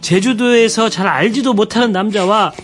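A man's voice: high voice, normal speed, fairly bright tone, soft background music. A man on a radio show, expressively telling a listener's story.

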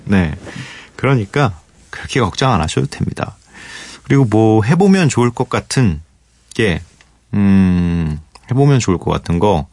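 Man, radio host, low and unhurried, dry studio sound, thoughtful late-night talk. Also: dry recording. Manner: relaxed, thoughtful, with pauses and fillers. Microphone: studio microphone.

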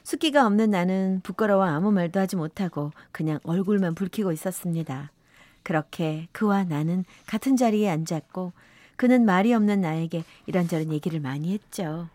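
A woman's voice: on the low side, normal speed, warm tone, no room sound, close to an everyday voice. A woman playing a wistful narrator in her fifties, telling a sentimental first-love story for a radio drama. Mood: wistful, reflective. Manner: sentimental, reflective.

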